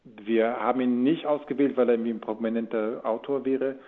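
A man's voice: mid-range voice, steady tone, a little fast. A man giving informal, rambling interview answers in a conversational way, as a guest. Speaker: radio drama producer, actor and interview guest. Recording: phone-like narrow band sound.

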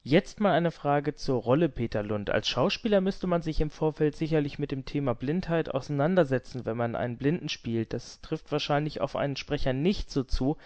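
A man with a slightly high voice hosts an interview in a dry, close recording. He puts his questions conversationally. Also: normal speed, hardly any pauses, darkish tone, no room sound. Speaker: interviewer, show host.

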